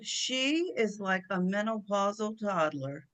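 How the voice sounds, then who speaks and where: Woman acting imperious, sharp-tongued First Lady, bright voice. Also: mid-range voice, animated, slow. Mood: haughty, scornful. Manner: haughty, biting, commanding. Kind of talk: comedy play table read.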